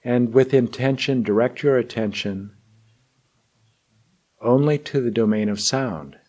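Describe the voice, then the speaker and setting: Very slow-speaking male meditation teacher. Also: medium pitch, a little mellow. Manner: deliberate, measured phrasing with long pauses. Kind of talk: guided meditation and spoken teaching.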